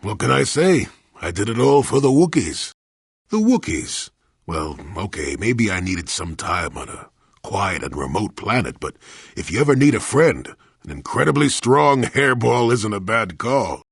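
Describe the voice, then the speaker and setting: A male actor playing a crusty, wry old hermit and former Jedi in a video game, with a gravelly, husky voice and a grumbling storyteller's manner. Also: fairly low pitch, sing-song, a little slow, no echo, clean studio sound, full character voice. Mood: wry, amused. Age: older. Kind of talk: video game character dialogue.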